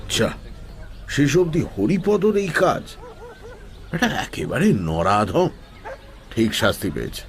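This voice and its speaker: An actor playing a placid, sly elderly village holy man in an audio story, chatting in an unhurried, nonchalant way. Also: mid-range voice, very animated. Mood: dismissive, calm. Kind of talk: Bengali audio story acting.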